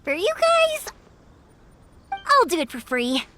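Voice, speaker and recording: Animated, high-voiced cheerful young woman. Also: takes it slowly, over loud music, heavily acted. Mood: friendly, upbeat. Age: young adult. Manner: chatty, upbeat, friendly. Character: cheerful young woman fireworks maker, big-sisterly and easygoing. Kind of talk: English video game character voice acting.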